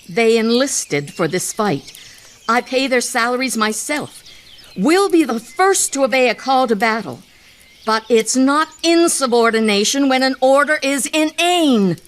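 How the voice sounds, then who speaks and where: A protective, defiant captain of soldiers acted by a woman for a radio play. The voice is fairly high, with a firm, stern delivery. It carries the weariness of a long campaign. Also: animated, measured pace. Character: steadfast, weary troop captain, protective and defiant. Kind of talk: radio theatre acting.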